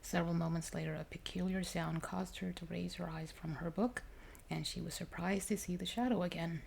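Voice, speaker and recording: A woman reading a story aloud ASMR-style, soft and unhurried, partly whispered. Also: fairly low pitch, fairly even intonation, creaky. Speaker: ASMR reader. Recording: faint noise behind the voice.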